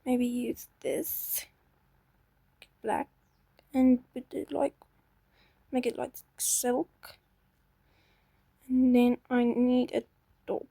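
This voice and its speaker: A woman's voice: a little high, flat delivery, very slow, creaky, pauses often, warm tone, husky, no echo. A young woman chatting casually to herself while playing a game, self-doubting and talkative. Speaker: gaming channel host. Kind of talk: casual gameplay commentary.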